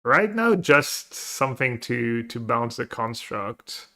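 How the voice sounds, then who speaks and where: Bright-voiced man streaming a card game. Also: mid-range voice, very animated, takes it slowly. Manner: thinking aloud, planning plays; chatty and casual with viewers. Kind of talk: live gameplay commentary, reading chat.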